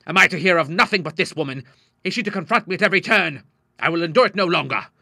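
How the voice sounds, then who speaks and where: A man's voice: mid-range voice, fairly quick, sharp tone, no echo, clean studio sound. A man voicing a stern older father, a doctor, who speaks coldly and indignantly. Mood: furious. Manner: cold and indignant, rising to anger.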